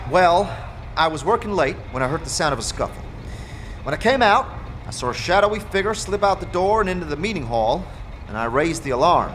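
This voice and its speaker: A man voicing a brisk, weary woman who supervises a foundry floor in a fantasy audio drama. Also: a little high, animated, a bit bright, a little hiss or hum, voice pushed up. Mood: weary, businesslike.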